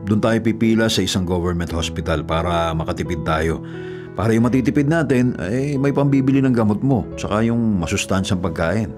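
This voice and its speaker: An actor playing a caring, burdened young delivery rider in a Filipino radio drama, with an earnest, conversational delivery and a Filipino accent. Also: male voice, lowish voice, expressive, fairly quick, lightly acted. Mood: reassuring. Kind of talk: naturalistic radio drama acting. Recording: music bed behind the voice.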